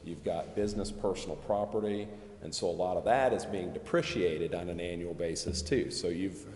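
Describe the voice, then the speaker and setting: A man with a very low voice explains things in a measured, careful way at a public meeting. He restates and qualifies his points as he goes. His words ring with long reverb in an echoey chamber. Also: darkish tone. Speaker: presenter explaining tax district finances at a council meeting. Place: council chamber.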